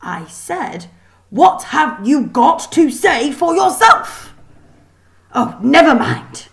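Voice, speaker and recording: A woman voicing a strict middle-aged female teacher in a storybook, shouting and scolding imperiously. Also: sits high, sing-song, slow, gravelly, voice pushed up, heavily acted. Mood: angry scolding.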